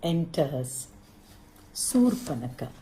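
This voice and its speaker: A middle-aged woman, gently narrating a story for a puppet show in measured phrases with long pauses. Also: low voice, very animated, low-quality recording. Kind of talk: slow scripted narration.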